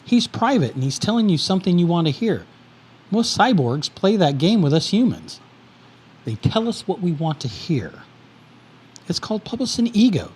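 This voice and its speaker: Male actor as a manipulative spymaster, husky tone, swooping pitch. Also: big pitch swings, moderate pace, darkish tone, exaggerated voice. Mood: warning. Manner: condescending, insistent. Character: Paul, controlling male spymaster. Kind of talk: audio drama acting.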